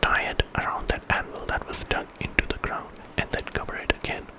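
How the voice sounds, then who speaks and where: A man telling a bedtime story in ASMR style, in a breathy whisper and an informal, conversational way. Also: brisk. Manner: informal retelling with quoted dialogue.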